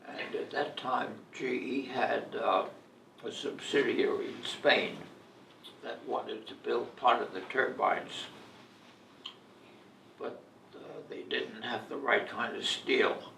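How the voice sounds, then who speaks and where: An elderly man in his eighties or older telling personal stories in a halting, deliberate way, as in an interview. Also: mid-range voice, steady tone, vocal fry, bright, forceful tone. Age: 80s or older. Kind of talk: reminiscing, personal storytelling. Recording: echoey room, distant mic, faint noise.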